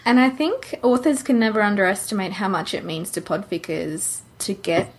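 A woman's voice: medium pitch, moderate pace, slightly rough, rough recording. A woman co-hosting a podcast, talking in a casual conversational way. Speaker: podcast co-host.

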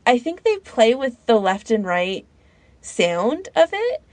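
A woman on a podcast panel chatting casually in an informal, halting way, as if thinking aloud. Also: a little high, very animated, darkish tone, no room sound. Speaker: podcast panellist recommending fan audio fiction. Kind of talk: casual chat.

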